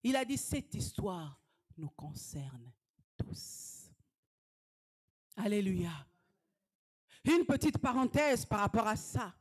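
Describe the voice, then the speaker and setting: A woman evangelist, preaching a sermon fervently, dropping to hushed whispers and rising to shouted exhortations. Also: mid-range voice, very animated, slow pace, long pauses, breathy edge.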